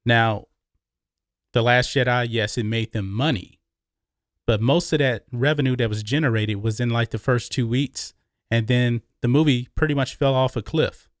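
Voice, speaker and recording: A man's voice: medium pitch, fairly even intonation, moderate pace, no echo, clean studio sound. An American man hosting an opinionated film commentary, speaking emphatically and repeating phrases for stress. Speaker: YouTube movie commentary host.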